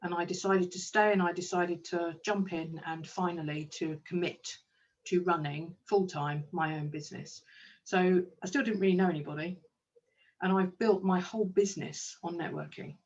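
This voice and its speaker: A woman's voice: fairly low pitch, steady tone, moderate pace, distant mic, dull audio. A woman, a kinesiologist and business owner, talking enthusiastically in a casual interview with plenty of ums. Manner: enthusiastic, chatty, with frequent ums.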